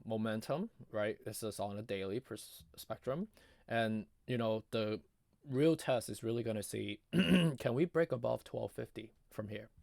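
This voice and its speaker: A man giving casual, off-the-cuff chart commentary in a halting, conversational way, his voice strained as if giving out. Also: mid-range voice, gravelly, darkish tone, no room sound, studio quality. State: losing his voice, often clearing throat. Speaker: market analysis video host.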